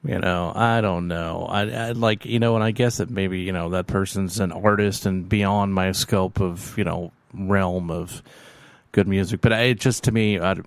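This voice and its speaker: A man hosting a late-night radio show, hoarse as he loses his voice, rambling and halting with many fillers, a quiet person by nature. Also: low-pitched, steady tone, dry recording. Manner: self-described quiet person. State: losing his voice.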